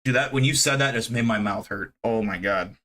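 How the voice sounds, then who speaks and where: A man's voice: medium pitch, fairly quick, bright, forceful tone. Rambling, profane man in his thirties. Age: 30s. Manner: rambling, profane, self-deprecating. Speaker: streamer. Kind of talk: casual live-stream chat with viewers.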